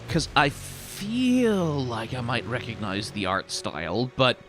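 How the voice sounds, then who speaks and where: Male commentator, highly expressive, colloquial and sardonic, off-the-cuff reactions over clearly audible music. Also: takes it slowly. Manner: animated, colloquial, sweary, sardonic. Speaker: reaction video host, commentator.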